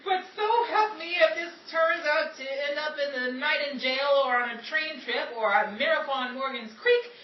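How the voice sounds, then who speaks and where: Woman acting a high, sassy 1930s screwball dame. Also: moderate pace, few pauses, muffled recording, lightly acted. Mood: exasperated wariness. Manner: wisecracking period slang, theatrical. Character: sassy, exasperated young 1930s Hollywood gal, wisecracking and streetwise. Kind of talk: 1930s screwball comedy radio-play acting. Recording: roomy, distant, noisy with crowd sounds.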